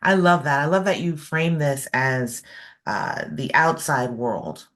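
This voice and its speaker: A woman hosts an interview in a low, unhurried voice. She speaks thoughtfully, pausing with ums as she builds her questions. She is heard over a video call. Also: expressive, a bit bright. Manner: measured, thoughtful, with frequent fillers. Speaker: host. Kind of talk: interview hosting.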